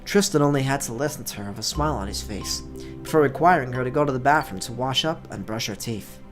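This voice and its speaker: A let's play host with an expressive storytelling delivery, speaking to viewers. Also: medium pitch, quick pace. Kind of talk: expressive story narration, read aloud. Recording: music playing behind the voice. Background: background music.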